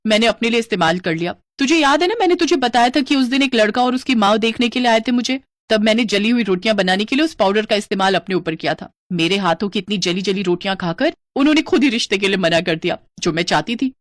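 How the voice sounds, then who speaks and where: A woman's voice: medium pitch, animated, fast, hardly any pauses, no echo, studio quality, natural voice. A woman voicing a scheming, jealous elder sister-in-law in a moral story, with a sly manner. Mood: smug.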